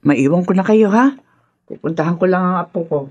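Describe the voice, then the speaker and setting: An actress plays a kindly older grandmother in a radio drama. Her voice sits a little low, with a folksy, teasing, motherly manner. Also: brisk, a little mellow, dull audio, lightly acted. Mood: matter-of-fact.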